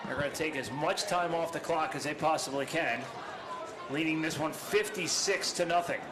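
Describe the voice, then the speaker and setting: High-voiced play-by-play man. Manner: brisk, factual play calling. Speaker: play-by-play commentator. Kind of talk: live high school football commentary. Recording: noisy live stream audio.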